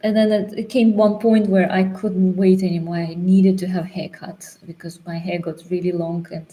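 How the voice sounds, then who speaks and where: A woman from Britain in her thirties chats through a story on a casual livestream. Her voice is mellow and dark, and her talk is friendly and rambling, with repeated words and small restarts. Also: fairly low pitch, flat delivery, moderate pace. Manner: chatty, laughs easily, repeats words. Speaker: co-host of a travel Q&A. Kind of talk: casual live chat.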